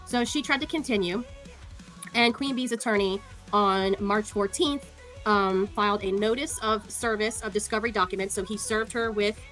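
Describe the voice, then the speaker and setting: A woman's voice: mid-range voice, moderate pace, fairly bright tone, soft background music. A woman giving casual, sarcastic commentary as she walks through court records, scornful and free with swearing. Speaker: online commentator.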